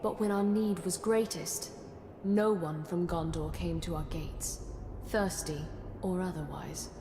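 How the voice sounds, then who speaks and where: A woman playing a solemn, thoughtful chronicler of a besieged fantasy city in a video game, narrating in a measured, grave and reflective way. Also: fairly low pitch, fairly even intonation, noisy background. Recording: echoey hall reverb under music.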